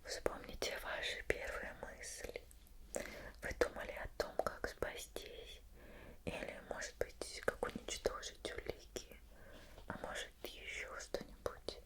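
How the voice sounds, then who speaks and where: Whispering woman acting a patient investigator. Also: close to an everyday voice. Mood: calm probing. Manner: slow, probing questions with pauses. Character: female investigator questioning a lab disaster survivor, patient but suspicious. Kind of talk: ASMR roleplay.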